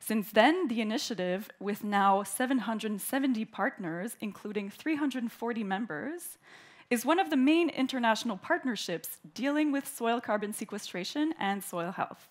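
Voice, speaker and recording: Woman from Canada hosting a conference opening, fairly high voice, reading prepared welcome remarks. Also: lively intonation, moderate pace. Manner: warm, clear and orderly, reading prepared remarks. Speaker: event host, opening speaker. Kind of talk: formal welcoming speech. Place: conference hall.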